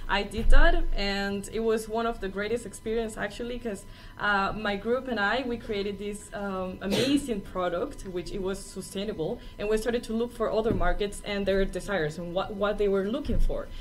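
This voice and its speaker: A woman's voice: on the high side, poor audio. A young adult woman from Peru, a native Spanish speaker, presenting spontaneously as a student. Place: small stage in a presentation room.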